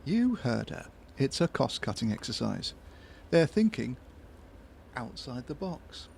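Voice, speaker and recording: A British actor playing a grumpy, sarcastic small-town office worker in a comedy audio drama, grumbling and swearing sardonically. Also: male voice, mid-range voice, big pitch swings, unhurried, creaky, a little mellow, a little hiss or hum. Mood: sardonic.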